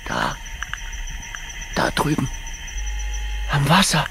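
A man's voice: a little high, huge pitch swings, extremely slow, vocal fry, noisy background, full character voice. A man playing a practical, curious expedition companion in a science fiction radio drama, speaking tersely. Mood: hushed, alert.

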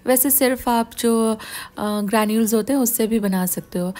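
A woman's voice: a little high, normal speed, dark tone. A woman in her thirties from India, a cooking vlogger chatting casually at home on a clip-on mic, mixing in English phrases, her throat slightly sore. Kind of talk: casual vlog with sponsored segment. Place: living room at home, indoors. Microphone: clip-on lapel mic.

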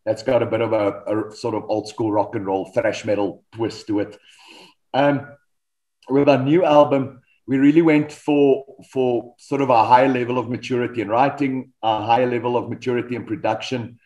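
A man's voice: medium pitch, moderate pace. South African man talking through a video call. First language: Afrikaans. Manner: relaxed storytelling, frequent ums and restarts. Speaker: metal band vocalist, guest. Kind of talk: casual video-call interview. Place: home studio with band posters. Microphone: desk microphone on video call.

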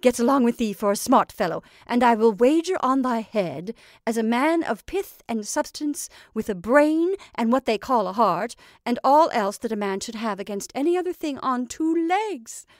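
A woman voicing a doting, sharp-tongued old witch with a high, sharp tone. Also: animated, normal speed, hardly any pauses, dry recording, studio quality, lightly acted. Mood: gleeful. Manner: fond and coaxing, archaic speech.

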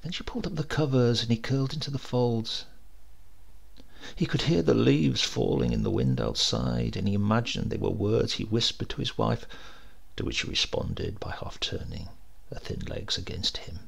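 A man reading a literary supernatural tale aloud in a measured, expressive storytelling manner. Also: on the low side, vocal fry, slightly rough. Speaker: story reader.

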